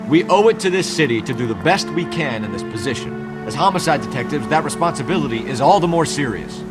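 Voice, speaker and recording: An actor playing an earnest, self-assured by-the-book police detective in a crime drama game, speaking formally and precisely. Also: male voice, on the high side, expressive, fast talker, over loud music, close to an everyday voice. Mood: earnest, righteous.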